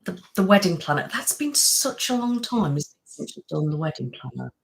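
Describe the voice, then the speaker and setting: A young woman with a low voice chats casually with her livestream viewers, thanking them by name. She talks in a friendly, rambling way, with plenty of "um" and "literally", and sounds worn out. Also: very animated, brisk, vocal fry. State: tired from a house move. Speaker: ASMR channel host. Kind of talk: casual live-stream chat reading comments.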